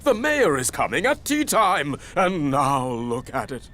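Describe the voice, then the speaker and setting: A man's voice: on the high side, wildly swooping pitch, measured pace, sharp tone, heavily acted. A man voicing a pompous, stern middle-aged railway boss in a children's cartoon, formal and authoritative. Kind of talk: children's cartoon character acting.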